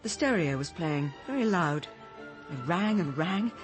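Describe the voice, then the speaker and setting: A woman's voice: on the low side, expressive, measured pace, over music. An actress playing a troubled, earnest woman who speaks hesitantly in a British radio drama. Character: troubled, earnest woman gently confronting her friend.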